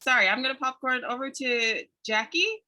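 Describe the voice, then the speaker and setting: A woman's voice: high voice, lively intonation, moderate pace, pushed and bright. A woman from Hawaii, a mother at home, introducing herself on a video call, admiring and a little shy. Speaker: book club member, mother.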